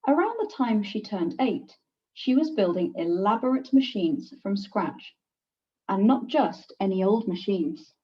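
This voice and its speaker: A woman reading a children's picture book aloud in an expressive, deliberate teacher's storytelling manner. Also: mid-range voice. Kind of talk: scripted picture-book narration. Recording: distant, slightly dull microphone.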